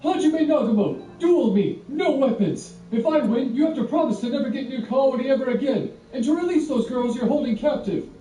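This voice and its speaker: A man voicing a hot-headed, self-righteous young male hero in a storybook, declaiming pompously and forcefully. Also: sits high, lively intonation, moderate pace, far from the mic, pitched above the performer's own voice. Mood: angry challenge. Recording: roomy echo, off the mic, music.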